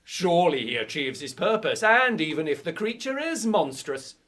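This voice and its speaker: High-voiced, teasing young gentleman. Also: very animated, normal speed. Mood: eager, critical. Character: Percy, teasing, eager young literary gentleman. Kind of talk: live radio drama acting.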